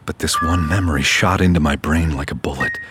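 A man's voice: a little fast, over music. An actor playing a weary, hesitant man with a sleep disorder in a naturalistic audio drama. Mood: haunted recollection. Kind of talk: naturalistic audio drama acting, some inner narration. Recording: rumbling vehicle noise behind the voice.

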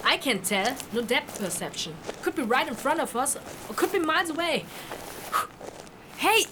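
A high, very lively voice acted by a woman for a sarcastic human stuck in a fantasy wilderness. She grumbles and quips in casual modern speech, in audio drama style. Clear noise runs behind her. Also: normal speed. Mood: wry.

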